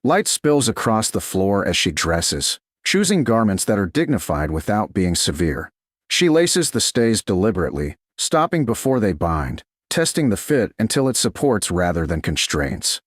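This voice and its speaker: A man reads a romance audiobook in a slow, soft, deliberate way. His voice is low but moves through a lively, melodic range. The recording is dry and close, with no audible room. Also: no room sound. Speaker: narrator. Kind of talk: scripted audiobook narration of a romance.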